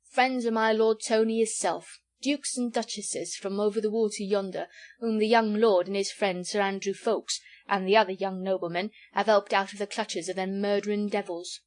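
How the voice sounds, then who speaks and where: A woman doing a loud, blustering old innkeeper. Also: mid-range voice, fairly even intonation, normal speed, natural voice. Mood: emphatic. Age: 60s. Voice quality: loud, emphatic, sententious. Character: bluff, opinionated male innkeeper, good-humoured.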